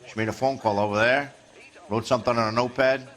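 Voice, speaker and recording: An actor playing an easygoing, rueful bookmaker in a 1940s crime game. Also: male voice, mid-range voice, steady tone, fast talker, fairly bright tone, close to an everyday voice. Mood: amused. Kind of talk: video game dialogue, 1940s crime drama.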